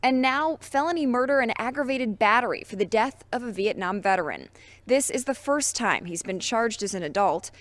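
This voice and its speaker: A woman narrates a television news report from a script. Her voice sits a little high for a woman. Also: lively intonation, normal speed, dry recording.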